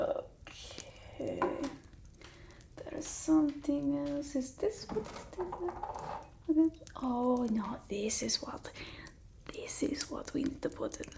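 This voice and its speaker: A woman playing a bossy, fussy old-world vampire mistress in an ASMR roleplay, whispering slowly and breathily. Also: fairly high pitch, creaky. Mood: fussy, musing. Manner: slow, hushed, haughty, fussing over the listener.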